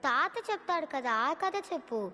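A woman's voice: sits high, expressive, fairly quick, bright, forceful tone. A woman voicing a curious, innocent young child asking questions in a cartoon bedtime story. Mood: pleading. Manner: inquisitive, innocent. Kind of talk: animated moral story dialogue. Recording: music behind the voice.

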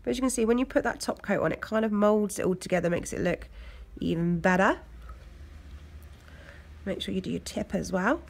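A woman with an English accent, hoarse from a cold, chatting casually as she talks through a nail art tutorial. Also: mid-range voice, fairly quick. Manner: chatty, loose, lots of fillers. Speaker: nail technician. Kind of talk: step-by-step tutorial narration.

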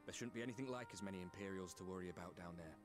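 An actor playing an earnest, determined soldier in a game, blunt and plain-spoken with a British accent. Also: male voice, low-pitched, racing, music in the background, lightly acted. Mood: steady. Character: earnest, determined male armoured soldier with self-doubt. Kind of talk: game cutscene voice acting.

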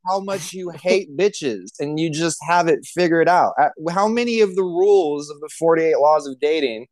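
A man's voice: fairly high pitch, lively intonation, normal speed, a little mellow, no room sound, low-quality recording. A man co-hosting a podcast in casual comedic chat, with a sarcastic, profane, rambling way of talking. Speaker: podcast co-host.

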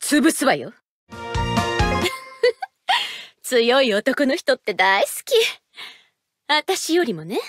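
Proud, high-voiced female fighter. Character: proud, confident, combative young woman fighter. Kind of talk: Japanese anime game voice acting.

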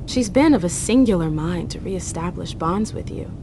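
A woman's voice: fairly low pitch, lively intonation, normal speed, mellow, a little hiss or hum. A woman playing a bold, defiant young vampire envoy in a dramatic video game. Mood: earnest, persuasive.

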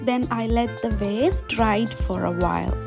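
A woman's voice: a little high, unhurried, vocal fry. A woman in her thirties, a DIY vlogger, talking in a friendly, chatty way with hesitant fillers, filmed at home by a camera in front of her. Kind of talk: casual vlog narration. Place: home, indoors, with a toddler on her lap. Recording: music playing behind her voice. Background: background music.

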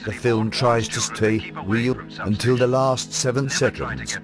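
A man's voice: medium pitch, expressive, a little slow, over music. A British man narrating a scripted comic review as the host, in a sardonic, dry-humoured manner.

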